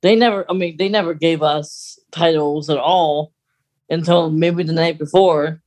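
A man's voice: fairly high pitch, fairly even intonation, a little slow, a little mellow. A man co-hosting a podcast in a casual, conversational style. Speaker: podcast co-host.

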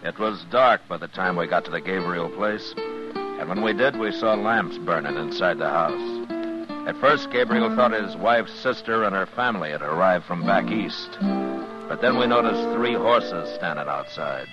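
An actor playing a stern, laconic frontier marshal in a 1950s radio western. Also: male voice, sits low, moderate pace, muffled recording, over loud music, natural voice. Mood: measured narration. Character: stern, authoritative frontier marshal, laconic lawman narrating his case. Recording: vintage radio recording, band-limited.

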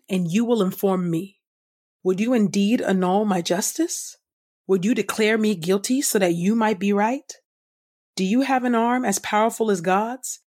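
A woman host reading scripture aloud from a script in a measured, solemn, clearly enunciated way. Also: mid-range voice, a little mellow. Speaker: podcast host and scripture reader. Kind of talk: scripted audio Bible reading.

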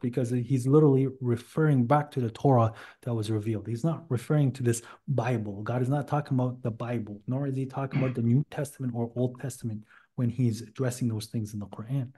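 A man's voice: mid-range voice, fast, mellow, husky. A man arguing a religious point informally as a debate guest, explaining it insistently. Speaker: debate guest defending Islam.

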